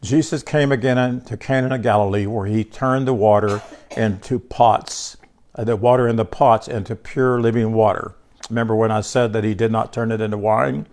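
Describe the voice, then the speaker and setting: A man's voice: on the low side, steady tone, moderate pace, a little mellow. Congested older man teaching scripture. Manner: conversational, repeats and restarts words, chuckles, talks to listeners by name. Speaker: bible teacher. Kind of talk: informal bible teaching to a small group.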